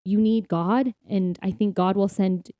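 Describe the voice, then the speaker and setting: Woman chatting on a faith podcast, soft mellow tone, earnest, really dry close sound. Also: medium pitch, steady tone, measured pace, dry recording. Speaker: podcast co-host. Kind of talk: casual faith-based podcast chat.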